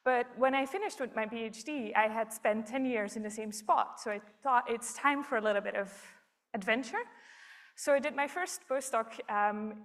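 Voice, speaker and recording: Woman from belgium giving a science talk, kinda high voice, lots of ums. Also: a bit bright. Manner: explanatory, with frequent ums.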